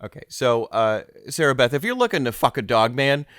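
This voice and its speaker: A man's voice: mid-range voice, sing-song, a little fast, fairly bright tone, smooth, no room sound, clean studio sound. A man hosting a mock-serious comedy interview, hesitant and stammering, sounding awkwardly earnest. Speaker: podcast host.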